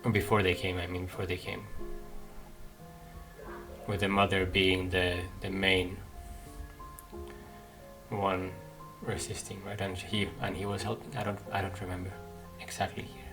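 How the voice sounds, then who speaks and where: A man hosting a game playthrough, commenting casually and haltingly as he thinks aloud. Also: sits low, flat delivery, music in the background, rough recording. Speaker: gaming video host.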